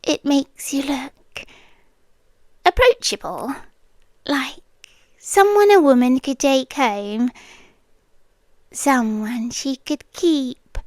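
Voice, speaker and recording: A woman playing a possessive, devoted yandere girlfriend with a British accent, speaking softly and deliberately in an intimate ASMR roleplay, often slipping into whispers. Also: high voice, no echo. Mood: doting, possessive. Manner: slow, deliberate, lingering pauses. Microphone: close microphone, dry sound.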